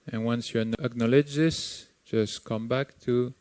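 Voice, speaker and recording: A man with a Sri Lankan accent leads a guided meditation in a slow, unhurried voice. His tone is smooth and clear, and gently instructive. The recording is close and dry, with no room sound. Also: mid-range voice, no echo. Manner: slow, calm, gently instructive with long pauses. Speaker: meditation teacher.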